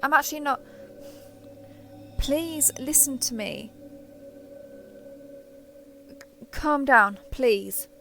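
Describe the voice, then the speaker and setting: High-voiced, clingy alien girl played by a woman. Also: very slow, long pauses, pushed and bright, soft background music, heavily acted. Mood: anxious, pleading. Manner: earnest, wheedling, over-explaining. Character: sheltered young female alien, clingy, naive, obsessive yandere. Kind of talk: audio roleplay acting, speaking intimately to listener.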